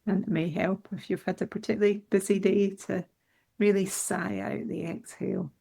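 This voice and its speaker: A woman leading a guided meditation in a soothing, unhurried manner with gentle instructions. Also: mid-range voice, level tone, gravelly, breathy edge.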